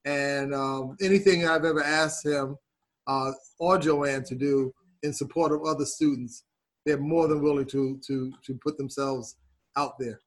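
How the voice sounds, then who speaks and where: A man speaking as a college program director in a conversational podcast interview, pausing often as he searches for phrasing. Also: medium pitch, fairly even intonation, rough recording.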